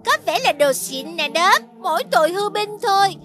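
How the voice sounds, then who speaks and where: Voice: extremely high pitch, lively intonation, normal speed. A performer voicing a small childlike cartoon cloud, curious and playful, with a southern Vietnamese accent. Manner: playful, exclamatory. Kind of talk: cartoon dubbing.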